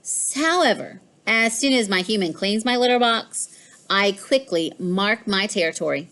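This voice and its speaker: A woman's voice: mid-range voice, animated, moderate pace, fairly bright tone. A woman voicing a smug, mischievous pet cat in a storybook, with a boastful, emphatic delivery. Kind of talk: storybook read-aloud.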